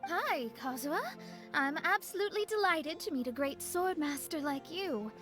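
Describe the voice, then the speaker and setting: A woman's voice: sits high, lively intonation, normal speed, fairly bright tone. A woman playing a proud, prim, easily flustered young astrologist in a video game, with music underneath. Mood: polite, charmed.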